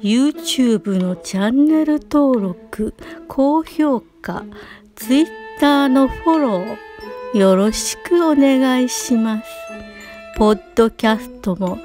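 A woman's voice: fairly high pitch, animated, slow, warm tone. A woman reading a scripted closing announcement. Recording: music playing behind the voice. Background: background music.

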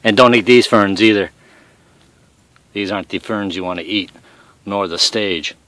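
A middle-aged man with a fairly low voice talks bluntly and casually, tossing off salty remarks. He is outdoors on a forest trail, recorded on a handheld camera. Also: normal speed, a bit bright, no room sound. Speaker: father showing mushrooms on a hike.

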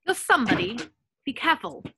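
A woman playing a clever, sensible young witch who gives brisk, bossy instructions. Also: on the high side, big pitch swings, bright, forceful tone, slight background noise. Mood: firm, instructing. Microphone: video call.

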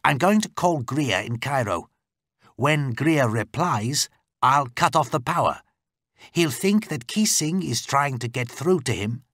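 A man reading a storybook part as a scheming driver, calm and calculating, giving deliberate instructions. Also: mid-range voice, dry recording, studio quality, pitched above the performer's own voice.

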